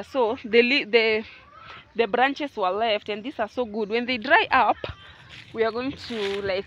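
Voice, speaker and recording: A woman's voice: mid-range voice, fairly bright tone, dull audio, a little hiss or hum. A Kenyan woman vlogging casually from her rural farm, chatting to viewers as family and guys, recorded on a phone outdoors. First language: Luo. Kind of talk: casual vlog chat to viewers.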